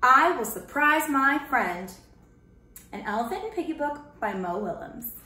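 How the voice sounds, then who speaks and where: A woman's voice: high-pitched, lively intonation, a little slow, pushed and bright, roomy sound. A woman in her thirties reading a picture book aloud to children as a storyteller. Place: small indoor room in front of a banner.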